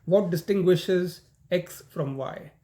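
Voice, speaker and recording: A man with an Indian accent teaching a university law class, his voice sitting a little higher than most men's. He talks in a loose, chatty way, full of "you know" and false starts, as he walks students through the material. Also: slow, warm tone. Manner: conversational, frequent "you know" and "um", repeats words. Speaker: law lecturer. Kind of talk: recorded university lecture.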